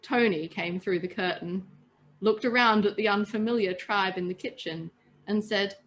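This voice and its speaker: A woman's voice: mid-range voice, moderate pace, a bit bright, rough recording. A woman telling a written story as a podcast host, narrating with the odd stumble and self-correction. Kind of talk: reading a written story aloud.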